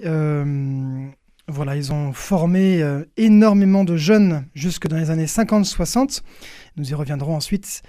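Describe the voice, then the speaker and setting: A man's voice: fairly high pitch, dark tone, no echo, clean studio sound. A young man, a priest being interviewed, explains things in hesitant phrases, repeating words as he searches for the next. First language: French. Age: young adult.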